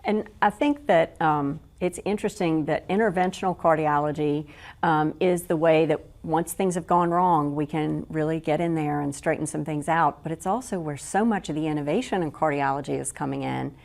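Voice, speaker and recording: Low-voiced woman hosting a health show. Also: creaky. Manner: measured scripted introductions, gently prompting questions. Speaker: talk show host. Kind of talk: health talk show interview.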